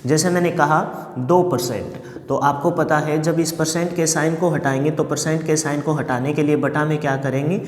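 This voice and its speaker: A male math teacher with a slightly hoarse throat, giving a classroom lesson and answering his own questions while he repeats key points. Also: on the high side, fairly even intonation, moderate pace, few pauses. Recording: echoey room with long reverb.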